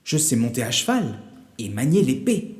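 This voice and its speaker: A man's voice: mid-range voice, big pitch swings, moderate pace, darkish tone, long reverb tail, voice pushed up, lightly acted. A man voicing a confident, boastful teenage boy in an audio legend, exclaiming in protest. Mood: indignant.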